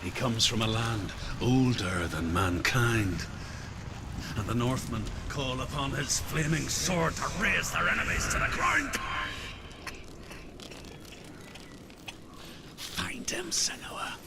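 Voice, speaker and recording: An actor playing a haunted, burnt old outcast in a video game, telling dark tales in an ominous theatrical manner with breathy whispers. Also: male voice, medium pitch, expressive, measured pace, heavy background noise. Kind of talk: dramatic game storytelling. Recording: loud music behind the voice.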